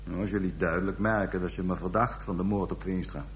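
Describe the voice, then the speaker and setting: An actor in a radio drama playing a cold, matter-of-fact murderer confessing without remorse. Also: male voice, sits low, fairly even intonation, fast talker, a little hiss or hum, close to an everyday voice. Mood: matter-of-fact, smug. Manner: casual, unrepentant, matter-of-fact.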